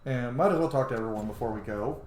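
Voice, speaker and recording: A man chats casually through a role-playing game as its host, reacting excitably and swearing freely. His voice sits at a middling pitch in a roomy, echoing space, with clear noise behind him. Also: fast. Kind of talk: casual let's play commentary. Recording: roomy echo, clearly noisy background.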